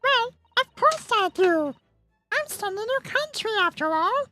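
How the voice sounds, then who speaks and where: A streamer voicing a haughty young English gentlewoman from a video game in a squeaky cartoonish voice, prim and condescending. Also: big pitch swings, measured pace, soft background music, exaggerated voice. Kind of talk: dramatic read of a video game script.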